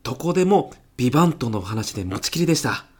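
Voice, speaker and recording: A man's voice: medium pitch, very animated, quick pace, breathy edge. A man playing an easygoing department manager in a comedy audio drama, at ease with staff and courteous with clients. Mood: courteous, agreeable.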